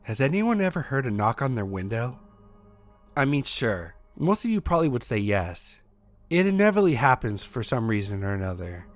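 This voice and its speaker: A man narrating a scripted first-person horror story in a measured, storytelling manner. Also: medium pitch, big pitch swings, no echo, soft background music.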